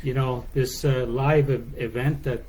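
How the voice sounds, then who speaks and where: A man from Canada answering interview questions in a measured, relaxed way from a hotel room. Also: mid-range voice, breathy edge, low-quality recording. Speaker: guest.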